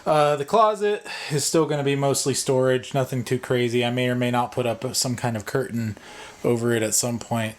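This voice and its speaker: A man's voice: medium pitch, normal speed. A man talking casually to viewers in a vlog, giving tips and walking through plans in an informal, rambling way, as if thinking aloud. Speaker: vlogger, video editor. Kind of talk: casual vlog talk and tutorial.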